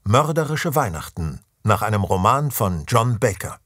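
A man narrating a horror audio drama as an ominous, deliberate storyteller. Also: fairly low pitch, sing-song. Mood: ominous, measured. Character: ominous male storyteller of a Christmas horror tale. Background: music.

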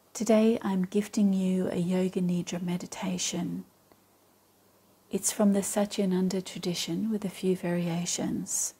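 A woman with a soft, breathy voice leading a guided meditation in a calm, measured way with long pauses. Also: fairly low pitch. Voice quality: soft, breathy, partly whispery. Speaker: yoga nidra meditation guide. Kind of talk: guided meditation, slow and soothing instruction.

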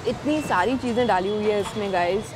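A woman's voice: medium pitch, a little fast, noisy background. Chatty woman tasting street food. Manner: chatty, mixing Hindi and English, tasting on camera. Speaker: food vlogger. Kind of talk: casual food review vlog. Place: busy indoor street food shops.

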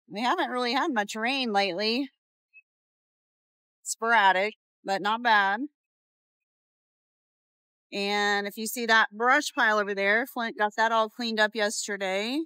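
A woman in her thirties chats to her viewers while riding across a farm, explaining the chores in an easygoing, everyday way. Her voice sits at a middle pitch. The sound is close and dry from a phone held at arm's length. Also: pushed and bright, clean tone, dry recording. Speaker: homestead vlogger. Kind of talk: casual vlog narration. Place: outdoors on a farm, riding in a utility vehicle.

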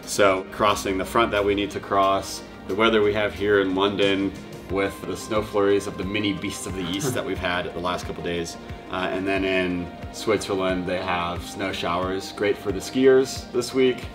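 A man's voice: sits low, steady tone, normal speed. A young man, a pilot, explaining things casually and often checking in with a tag question. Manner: frequently tags statements with "right?". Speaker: pilot explaining flight planning. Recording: background music under speech.

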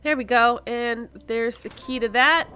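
A woman's voice: on the high side, steady tone, a little slow, sharp tone, soft background music. A woman giving casual let's play commentary as host, thinking aloud and exclaiming in surprise as she goes. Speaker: host of a gaming series.

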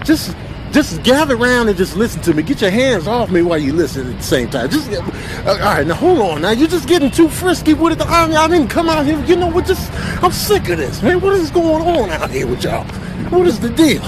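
A man plays a self-important dog activist who is fed up and indignant. The voice sits high and swings widely as he stammers and repeats himself. It is a comedic animal voiceover with a noisy background. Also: very animated, brisk. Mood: exasperated, indignant.

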